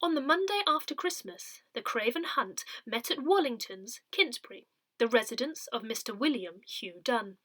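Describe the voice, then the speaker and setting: A British woman reading a newsletter and its notices aloud in a measured, clearly phrased way. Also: fairly high pitch, expressive, bright, forceful tone. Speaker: volunteer reader of an audio parish newsletter.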